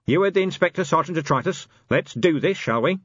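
A man voicing a weary, gruff police commander in an audiobook, terse, dry-humoured and commanding. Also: a little high, expressive, brisk, dry recording, dull audio, pitched above the performer's own voice. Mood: resigned.

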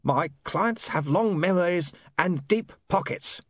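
A man's voice: sits high, expressive, unhurried, fairly bright tone, dry recording, studio quality, voice pushed up, heavily acted. A man doing a cold, clipped villain.

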